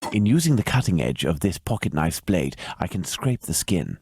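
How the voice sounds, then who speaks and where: A gentleman detective from a mystery game, with an English accent. His voice is low and slightly rough, rising and falling in lively fashion as he lays out things precisely and formally. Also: normal speed, no echo, clean studio sound, exaggerated voice. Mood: analytical. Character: keen, methodical male gentleman detective, coolly self-assured. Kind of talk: video game detective dialogue.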